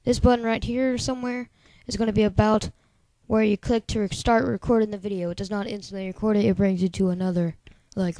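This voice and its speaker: Chatty male tutorial host, squeaky high voice with a soft, mellow edge, dry close sound. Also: no room sound. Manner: rambling, chatty, lots of filler words. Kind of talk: casual screen-recording tutorial.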